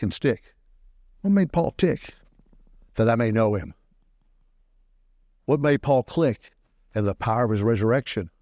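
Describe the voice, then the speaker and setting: A man preaching a sermon into a microphone in a church, animated, often swinging his voice up high to stress a point. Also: no echo, clean studio sound.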